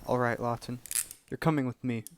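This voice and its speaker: A woman playing a steady, fatherly male police commissioner in an amateur radio play. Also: a bass voice, fairly quick, noisy background. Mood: reassuring.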